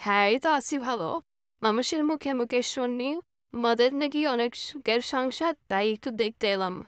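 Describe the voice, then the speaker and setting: A woman's voice: fairly high pitch, moderate pace, clean tone, dry recording, lightly acted. A woman voicing a chatty, curious village neighbour woman in a cartoon story. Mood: friendly. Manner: chatty, inquisitive. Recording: loud music behind the voice.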